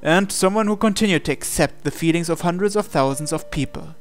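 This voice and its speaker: Higher-voiced man reading dramatic narration. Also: a little fast, soft background music. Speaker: visual novel reader.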